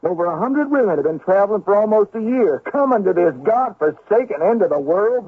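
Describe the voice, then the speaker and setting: Radio drama role: sarcastic, resentful grown son of a miner, slightly high husky voice, thin radio sound. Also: male voice, exaggerated voice. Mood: bitter. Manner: accusing, sardonic, dramatic. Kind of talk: 1970s radio drama acting. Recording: tinny band-limited old radio broadcast.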